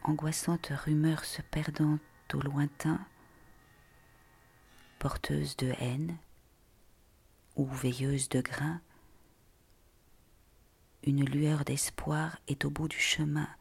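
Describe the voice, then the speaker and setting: This narrator reads poetic prose very slowly and deliberately. The voice is heavily breathy and hushed, often slipping close to a whisper. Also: medium pitch, steady tone. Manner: slow, hushed, deliberate delivery. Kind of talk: literary reading of poetic prose.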